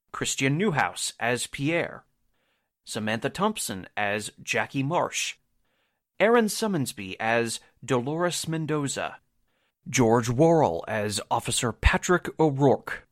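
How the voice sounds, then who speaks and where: A man serves as announcer for a radio drama. He reads his script formally and in a measured way. His voice sits at an average pitch. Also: a bit bright.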